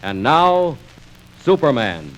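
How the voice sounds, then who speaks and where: A man's voice: medium pitch, big pitch swings, slow pace. A man narrating a 1940s radio serial in a dramatic, scripted, suspenseful and urgent style. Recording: old radio record, faint noise.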